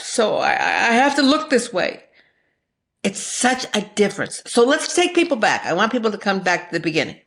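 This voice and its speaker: A woman hosting a podcast in a conversational interview style, speaking with an effusive, emphatic and affectionate manner. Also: mid-range voice. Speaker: podcast host.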